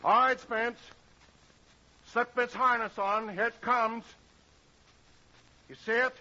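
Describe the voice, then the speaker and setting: An actor in an old-time radio drama playing a commanding but reassuring crew leader who gives short orders. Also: male voice, extremely high pitch, fairly even intonation, slow, bright, forceful tone, full character voice. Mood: urgent, encouraging. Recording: dull old radio, noisy background voices.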